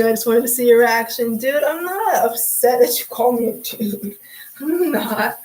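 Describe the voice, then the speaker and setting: A young woman hosting a casual livestream chat, talking in a sassy, chatty way, her voice hoarse and raspy from hours of talking. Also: fairly high pitch, poor audio. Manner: sassy, chatty, calls viewers sweetie and honey. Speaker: livestream host.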